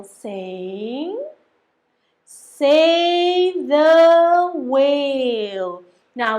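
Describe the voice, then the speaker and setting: A woman teacher reading a children's storybook aloud in a theatrical, expressive way with deliberate phrasing. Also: a little high. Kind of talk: animated children's storybook narration.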